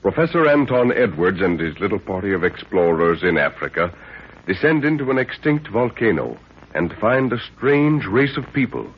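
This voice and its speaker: A male announcer with a deep voice narrates in the dramatic style of 1930s radio. His delivery is portentous and theatrical, heard through a muffled recording with faint noise. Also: sing-song, normal speed.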